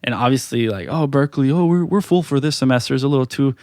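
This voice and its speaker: A man's voice: mid-range voice, quick pace, smooth, no room sound, studio quality. A man whose first language is Spanish, telling stories in a casual podcast chat, full of fillers and restarts. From Mexico. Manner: animated storytelling with many fillers and restarts. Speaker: podcast guest, music producer.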